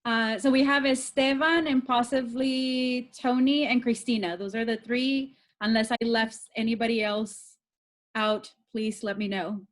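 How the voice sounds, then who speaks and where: A woman moderating a video meeting, upbeat and brisk, calling on people by name. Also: a little high, steady tone. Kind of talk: casual video call moderating. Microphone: video call.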